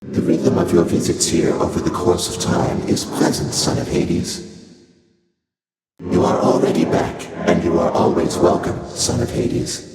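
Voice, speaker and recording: An actor playing an ancient, otherworldly primordial deity in a video game, speaking formally and aloofly with dry amusement through a heavy reverb effect. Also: male voice, sits low. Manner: formal, archaic, deliberate, aloof phrasing. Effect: heavy otherworldly echo reverb. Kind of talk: video game character voice lines. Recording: cavernous long reverb, loud music behind.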